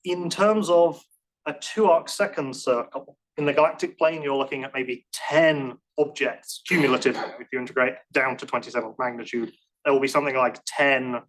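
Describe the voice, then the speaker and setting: A man's voice: mid-range voice, fairly bright tone, poor audio. A man answering questions after a conference talk in a lecture room, speaking casually with frequent restarts and self-deprecating asides. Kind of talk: technical Q&A, casual and hedging.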